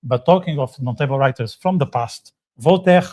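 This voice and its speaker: A man of middle pitch co-hosting a podcast, chatting freely and joking with his panel. He talks in loose, rambling runs and turns questions out to the others. This was recorded live in a hotel room. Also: moderate pace, dark tone. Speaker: podcast co-host. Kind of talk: casual panel chat.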